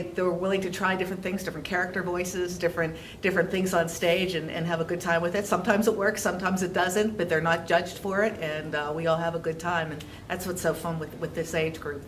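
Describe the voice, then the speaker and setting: A mature woman who teaches theater talks informally about her work. Her voice sits slightly low, with clear ambient noise around her. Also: poor audio. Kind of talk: informal interview testimonial.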